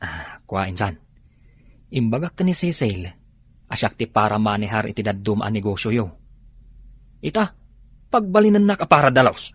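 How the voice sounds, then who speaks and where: A nosy, naive worker who grumbles and wisecracks. His voice is husky and very lively in pitch, with an Ilocano accent, in radio drama style. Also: moderate pace, long pauses, dry recording. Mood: grumbling.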